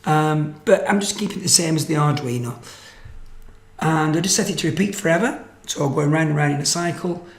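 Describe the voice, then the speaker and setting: A man's voice: on the high side, brisk. A man giving an informal tutorial narration, explaining things casually as a presenter. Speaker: presenter of electronics tutorial videos. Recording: roomy, echoey microphone sound.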